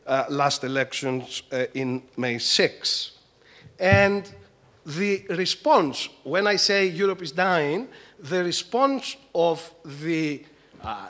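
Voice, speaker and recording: A man's voice: on the high side, a bit bright. An older man from Greece, giving an emphatic lecturing talk as a conference panelist at a table mic. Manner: emphatic, lecturing, gestures a lot. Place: large conference hall. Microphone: table mic on stand.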